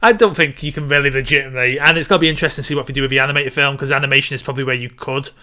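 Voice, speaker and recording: A man's voice: mid-range voice, steady tone, rapid-fire, bright, forceful tone. A man co-hosting a casual film discussion, giving his opinions and repeating points for emphasis. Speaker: podcast co-host.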